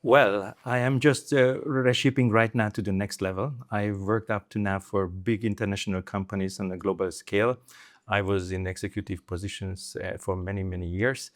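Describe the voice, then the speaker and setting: A man's voice: lowish voice, fairly even intonation, normal speed. A middle-aged man with a Hungarian accent, speaking conversationally as an interview guest. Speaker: interview guest, former telecom executive.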